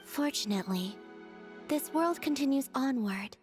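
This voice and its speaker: A serene young moon goddess from a video game. The voice is soft, airy and fairly high, speaking in a measured, formal, innocent way over faint music. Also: female voice, lively intonation. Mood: wistful, calm. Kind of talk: video game character acting.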